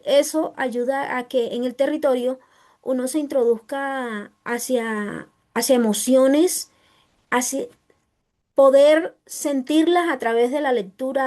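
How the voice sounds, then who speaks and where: A woman writer with a Colombian Caribbean coast accent, answering interview questions haltingly as she searches for words. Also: sits high, warm tone. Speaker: guest writer.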